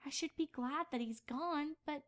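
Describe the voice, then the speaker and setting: A woman's voice: sits high, normal speed, lightly acted. A woman voicing an earnest, easily flustered young female pony princess in a fan fiction audio drama. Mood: troubled, regretful.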